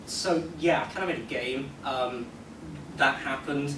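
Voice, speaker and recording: A man presenting casually at a convention panel, rambling in an excitable, self-deprecating way. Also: mid-range voice, a little slow. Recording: echoey, noisy hall, distant microphone.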